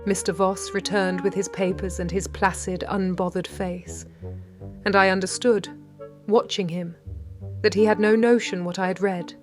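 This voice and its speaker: An actress with a British accent playing a shrewd 1930s London lady detective, narrating in a measured, wry and precise way. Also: medium pitch, fairly even intonation, over music, close to an everyday voice. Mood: composed, watchful. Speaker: narrator and lead character. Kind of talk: first-person detective audio drama narration.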